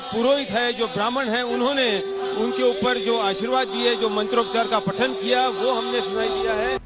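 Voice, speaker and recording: A man's voice: very high-pitched, fairly even intonation, normal speed. A man giving a live on-location news report in a raised voice with repetitive, improvised phrasing. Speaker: field reporter. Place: outside a residence, at an event. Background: loud music.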